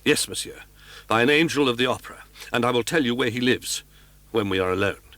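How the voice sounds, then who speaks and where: A man's voice: medium pitch, brisk, bright, forceful tone, dry recording, natural voice. A man voicing an anxious young male nobleman who stammers and pleads urgently. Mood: earnest.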